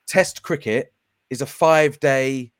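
A man's voice: mid-range voice, measured pace, clean tone, dry recording, studio quality. A man hosting a podcast interview, explaining things at length in a conversational way. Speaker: podcast host.

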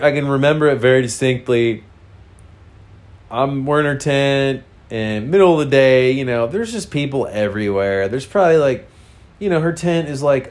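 A man's voice: medium pitch, a little mellow. A man with a congested, nasal voice, casually telling a frank, rambling personal story full of fillers.

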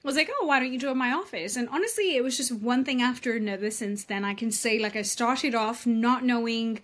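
A woman from England, a real estate coach, talking emphatically and candidly as a podcast guest over a video call from home. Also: a little high. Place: home room abroad.

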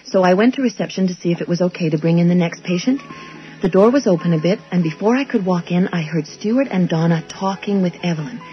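An actress playing an earnest, suspicious young wife and dental assistant, speaking insistently in a radio drama. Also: female voice, lowish voice, quick pace, faint music behind, natural voice. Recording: dull lo-fi old radio broadcast.

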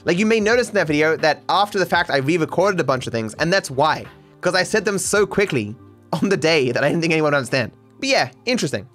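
Rambling man, restless pitch. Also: fairly bright tone. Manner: rambling, halting restarts, wide pitch swings. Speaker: podcast host. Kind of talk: casual solo rambling.

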